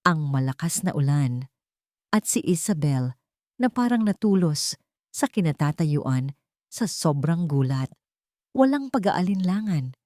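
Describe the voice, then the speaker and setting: A woman narrates a romantic drama in a fairly low voice. Her delivery is scripted and dramatic, the voice of a storyteller. The sound is dry, with no room around it. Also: a little mellow, dry recording.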